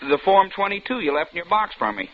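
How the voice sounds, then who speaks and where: A man's voice: high-pitched, sing-song, fast talker. A man playing a chatty, fussy mailman in an old-time radio drama, folksy and pedantic, repeating himself. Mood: patient, pedantic. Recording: muffled, noisy vintage radio recording.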